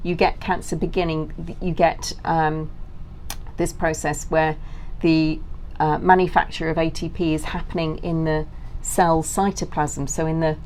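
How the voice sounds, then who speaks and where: A woman's voice: low-pitched, level tone, a little slow, a little mellow, a little hiss or hum. A middle-aged British woman talking as an interview guest, explaining things informally in long, self-interrupting sentences.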